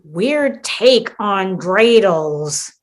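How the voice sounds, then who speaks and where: A woman's voice: medium pitch, animated, extremely slow. An actress playing an exasperated, sarcastic young woman stuck in a sitcom world, in a comedic radio play. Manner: sarcastic, incredulous.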